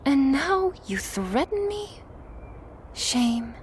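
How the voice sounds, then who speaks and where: A woman's voice: high voice, very animated, extremely slow, a little hiss or hum, full character voice. A woman playing a sly, taunting, thirsty vampire outsider in a scripted audio drama, teasing and smug.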